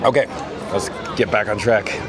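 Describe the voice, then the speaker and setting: A hoarse man hosting a live walking vlog outdoors, coughing now and then and reading viewer comments aloud. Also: lowish voice, moderate pace. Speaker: vlogger host. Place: outdoors at a busy theme park. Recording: loud crowd and outdoor noise. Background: crowd chatter.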